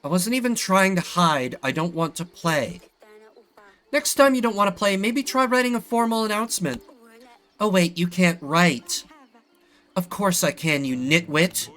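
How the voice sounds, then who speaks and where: A man's voice: high-pitched, measured pace, a bit bright, pitched above the performer's own voice. A man voicing a bickering young princess, sulky, snippy and taunting, in a fantasy game. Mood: petulant, taunting.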